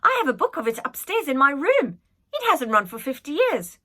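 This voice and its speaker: A woman's voice: sits high, big pitch swings, normal speed, pushed and bright, pitched above the performer's own voice, full character voice. Woman playing an earnest young storybook prince. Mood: curious, insistent. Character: sickly, bookish, well-bred young prince.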